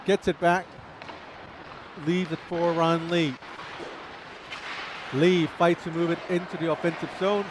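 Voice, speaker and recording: A man's voice: high voice, background noise. A man commentating live ice hockey, a native Chinese speaker, chatty and self-deprecating, laughing between play-by-play calls.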